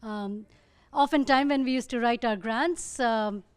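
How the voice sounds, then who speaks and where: A woman with an Indian accent, a researcher speaking thoughtfully on a panel and often pausing to find her words. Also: a little high, clean tone. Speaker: muscle disease researcher.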